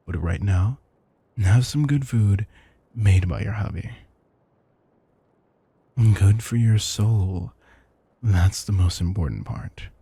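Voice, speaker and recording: Man voicing a retired villain husband, very breathy and husky, gravelly creak, doting, playfully grandiose, intimate romantic comedy roleplay. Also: deep voice, warm tone, no echo, heavily acted. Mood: affectionate, coaxing. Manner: playful, teasing, mock-grandiose. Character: affectionate, theatrical retired supervillain turned doting house husband. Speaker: audio roleplay performer.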